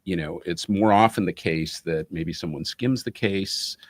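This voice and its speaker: A man's voice: deep voice, a little slow, no room sound. A man giving explanatory interview answers in a methodical, professorial way. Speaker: interview guest, business school academic.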